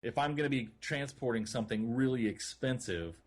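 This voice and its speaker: A man co-hosting a gaming podcast in casual conversation, explaining things in a rambling, halting way. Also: mid-range voice, animated. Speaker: podcast co-host. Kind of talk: casual chat about a video game.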